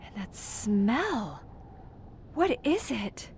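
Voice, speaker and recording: An actress playing a frightened young bank teller on the run in a period suspense audio drama, hesitant and fretful. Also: female voice, on the high side, sing-song, drawn-out words, darkish tone, slight background noise, heavily acted. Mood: uneasy.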